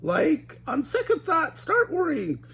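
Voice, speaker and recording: Goofy cowardly young man, whiny falsetto, always hungry, storybook voice, wildly swooping. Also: wildly swooping pitch, takes it slowly, bright, forceful tone, slight background noise, full character voice. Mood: scared. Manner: high, strained falsetto, jittery and whiny.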